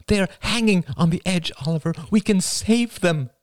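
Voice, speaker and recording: A man's voice: high-pitched, moderate pace, no room sound, studio quality, voice pushed up, natural voice. A man voicing an anxious middle-aged mother who pleads emotionally in an audiobook. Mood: worried. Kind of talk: audiobook character voice.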